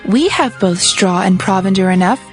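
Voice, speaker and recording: An actress playing a gracious, obliging young maiden in a dramatized audio Bible, courteous and gently formal. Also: female voice, medium pitch, normal speed, soft background music, natural voice. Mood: gracious, helpful.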